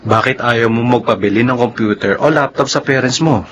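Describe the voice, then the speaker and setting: A man as a calm, mid-pitched Filipino teacher. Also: normal speed, dull audio, a little hiss or hum, close to an everyday voice. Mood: concerned. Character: calm, concerned male school teacher. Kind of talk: Filipino radio drama acting.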